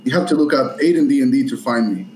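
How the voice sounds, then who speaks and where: Argentine panelist, earnest explainer, echoey noisy recording. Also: male voice, medium pitch, expressive, quick pace, darkish tone. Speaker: panelist, YouTuber. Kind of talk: casual panel chat.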